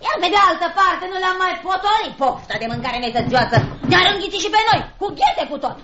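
A coarse, bullying schoolmaster's wife in a radio drama, her high voice swinging widely. She is blunt, scolding and callous. The old recording is echoey and clearly noisy. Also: expressive, highs cut off. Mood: callous, gruff. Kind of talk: Romanian radio theatre acting.

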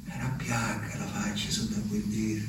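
An actor playing an anguished, simple Italian peasant man, telling his memories in a halting, urgent stage monologue. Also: lowish voice, little pitch movement, close to an everyday voice. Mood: tender, halting. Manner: halting, repetitive, urgent storytelling. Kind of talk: theatrical monologue. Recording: echoey room, distant mic, faint noise.

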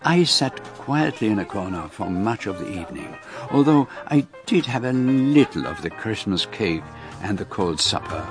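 An actor playing a courteous, shrewd gentleman detective with a French-speaking Belgian accent, precise and formal, in a radio drama. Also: on the low side, animated, moderate pace, over loud music, close to an everyday voice. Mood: measured, sly. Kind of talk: radio drama narration and dialogue.

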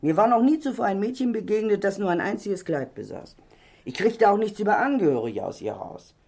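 Gravelly, lively, gossipy landlady. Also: mid-range voice, fairly quick, full character voice. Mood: confiding. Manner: chatty, indignant, insinuating. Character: gossipy, self-righteous middle-aged landlady. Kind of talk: German crime radio play acting.

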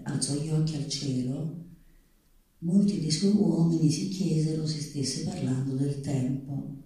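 A man's voice: fairly high pitch, mellow. A man reading a literary story aloud on stage. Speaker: reader on stage. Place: live theatre stage. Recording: echoey hall, distant microphone.

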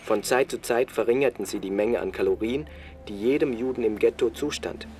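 An actor playing a sober, matter-of-fact man, giving measured, detached first-person narration in a radio drama. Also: mid-range voice, darkish tone, lightly acted. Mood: sober, grim. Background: music.

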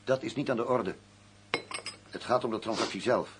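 An actor playing a shrewd, stubborn arms dealer in a crime radio drama, speaking in a curt, commanding and condescending manner. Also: male voice, mid-range voice, moderate pace, lightly acted. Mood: hard, condescending. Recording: faint noise and hum.

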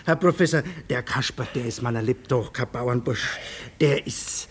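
An actor playing a humble, talkative prison warder in a radio play, with a homely Franconian dialect and a deferential manner. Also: male voice, mid-range voice, moderate pace, breathy edge, lightly acted. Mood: chatty, earnest. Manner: homely dialect, deferential, hesitant over learned words. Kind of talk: German radio play acting.

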